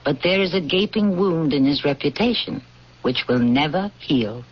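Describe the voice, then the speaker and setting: A man's voice: on the high side, animated, moderate pace. A man hosting and narrating a radio mystery in a dramatic, scripted style. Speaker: host and narrator. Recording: muffled old radio broadcast. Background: music.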